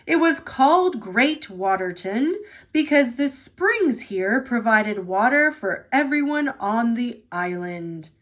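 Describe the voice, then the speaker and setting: A woman voicing a stately male railway boss in a children's storybook, measured, formal and authoritative. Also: on the high side, expressive, a bit bright. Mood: solemn, proud.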